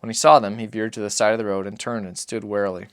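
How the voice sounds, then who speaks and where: A man giving a dramatic reading of literary fiction, solemn and measured in his phrasing. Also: lowish voice, little pitch movement, a bit bright, clear, resonant tone, dry recording, clean studio sound. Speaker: audiobook narrator.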